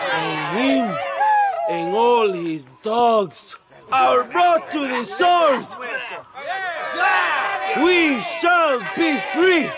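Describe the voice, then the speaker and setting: A man's voice: very high-pitched, extremely slow, long pauses, exaggerated voice. A male actor playing a fiery Mexican revolutionary leader in a video game, declaiming with theatrical grandeur in a Mexican accent. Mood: rousing, fervent. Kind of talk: rousing political speech in a game. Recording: loud music and crowd behind.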